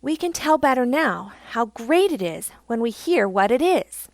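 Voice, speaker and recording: A woman voicing a testy old male frog in a storybook, gruff and impatient. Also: a little high, very animated, measured pace, no room sound, clean studio sound, heavily acted.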